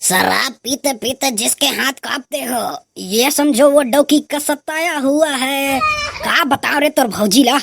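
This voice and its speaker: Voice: high voice, measured pace, creaky, fairly bright tone, dry recording, studio quality, heavily acted. Someone voicing a boozy rambling village husband. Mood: tipsy, carefree. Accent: Chhattisgarhi. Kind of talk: comedy cartoon voice acting in rural dialect.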